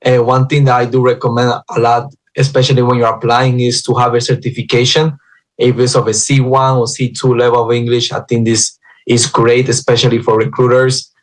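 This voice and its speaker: A recruiter on a panel giving job-hunting tips to listeners. His voice stays very flat, with hardly any rise or fall, and he speaks in a steady, advising manner with occasional fillers. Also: medium pitch, brisk. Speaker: recruiter at staffing agency. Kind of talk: panel discussion.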